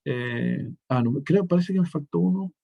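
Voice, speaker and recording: Male instructor, slightly high voice, talks through a screen demo step by step, halting and restarting phrases. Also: gravelly, muffled recording. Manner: step-by-step explaining, frequent self-corrections and restarts. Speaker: teacher. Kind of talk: screen-share software tutorial.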